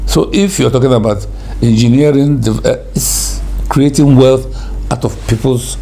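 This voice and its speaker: An older man with a Nigerian accent and a croaky voice, a guest recalling the past at an unhurried pace. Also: mid-range voice, fairly even intonation, dark tone, slight background noise. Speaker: interview guest. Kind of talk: reflective storytelling.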